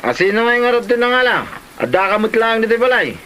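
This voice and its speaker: A man's voice: very high-pitched, sing-song, fast talker, pushed and bright, slight background noise, exaggerated voice. A man with an Ilocano Filipino accent playing a cocky, idle family gambler in an amateur comedy drama. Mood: pestering.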